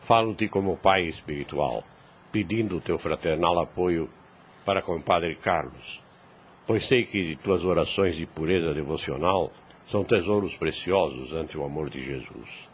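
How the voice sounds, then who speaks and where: A man playing a grave, kindly older priest and uncle in an audio drama, speaking in a measured, formal, fatherly way. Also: deep voice. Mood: confiding, worried. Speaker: voice actor. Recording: clear background noise.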